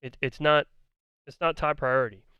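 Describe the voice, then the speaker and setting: A man delivers argumentative, profane commentary, hammering the same points again and again. His smooth voice sits somewhat high and has begun to turn hoarse. He was recorded up close with no room sound at all. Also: steady tone, moderate pace, dry recording, muffled recording. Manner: argumentative, blunt, profane, repeats himself. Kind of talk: ranting commentary on a debate.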